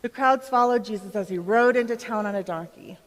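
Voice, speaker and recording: A woman playing an excited eyewitness to Jesus, telling her story directly to listeners in a live church drama. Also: mid-range voice, fairly quick, natural voice. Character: excited woman of Bethany, Lazarus's sister, witnessing Jesus. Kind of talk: dramatic monologue in a church performance. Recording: reverberant hall.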